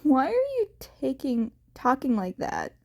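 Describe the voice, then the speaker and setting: A woman's voice: high voice, huge pitch swings, measured pace, gravelly, darkish tone, exaggerated voice. A woman voicing a cocky, blunt young hero woman who teases informally in an audio story. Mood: amused, mocking. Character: brash, teasing pro-hero woman under thirty.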